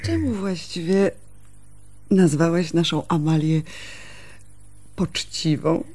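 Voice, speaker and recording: An actress playing a candid, devoted elder sister from a village in a radio drama, explaining things in a measured, confiding way. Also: female voice, lowish voice, darkish tone.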